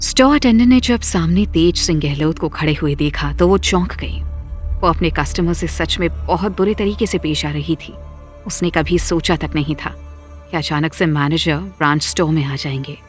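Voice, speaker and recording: Casting: audio story narrator, mid-pitched voice, scripted storytelling, soft music underneath. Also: normal speed.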